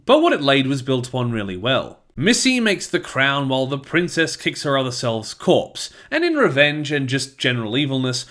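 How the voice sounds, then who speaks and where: A man's voice: medium pitch, fairly bright tone. A man reading a scripted review as narration, recapping and giving his opinions.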